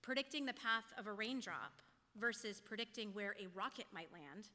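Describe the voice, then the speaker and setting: A woman with a bright, forceful voice delivers a prepared sermon. She speaks in a measured, reflective way at a middle pitch. Her words ring with the long reverb of a church hall. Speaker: lay speaker giving a sermon, a teacher. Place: church sanctuary.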